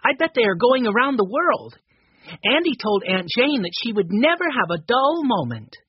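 A man voicing an eager, excitable little boy who is always hungry, in a storybook reading. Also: extremely high pitch, moderate pace, husky, no echo, muffled recording, pitched above the performer's own voice, full character voice. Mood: excited.

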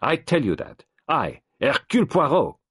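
A man's voice: medium pitch, wildly swooping pitch, moderate pace, fairly bright tone, slightly rough, dry recording, studio quality, pitched above the performer's own voice, heavily acted. A man reading the part of a stern, precise elderly male detective who speaks formally and insistently.

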